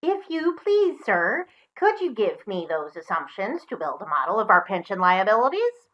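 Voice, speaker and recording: A woman's voice: medium pitch, big pitch swings, moderate pace, a bit bright, highs cut off. A woman voicing a polite, plucky little pig in a sing-song way for a parody fairy tale.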